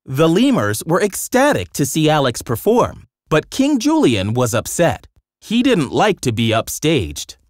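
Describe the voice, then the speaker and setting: A man's voice: fairly high pitch, dry recording, clean studio sound. A man narrating a scripted children's story with dramatic storytelling delivery.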